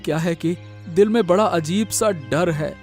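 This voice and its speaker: A man's voice: high-pitched, measured pace, soft background music. A man voicing a humble, worried village servant in a cartoon drama, deferential and hesitant. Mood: worried, respectful. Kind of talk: Hindi cartoon family drama.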